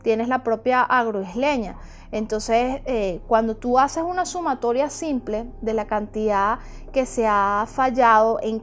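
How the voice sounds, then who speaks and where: High-voiced woman explaining as an expert. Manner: didactic, addresses the listener with examples. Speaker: human rights observatory expert. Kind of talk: explanatory interview commentary.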